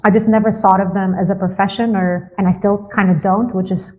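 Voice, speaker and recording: A young woman from Greece, a guest in a casual interview, thoughtful, often pausing with fillers before she answers. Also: medium pitch, fairly even intonation. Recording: tinny phone-like line.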